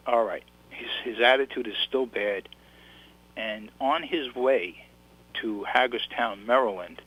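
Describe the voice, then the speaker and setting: A man's voice: fairly low pitch, a bit bright, breathy edge, rough recording. A man with a New York accent, an author telling his story in a casual interview, rambling and restarting his sentences. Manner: rambling, repeats words and restarts.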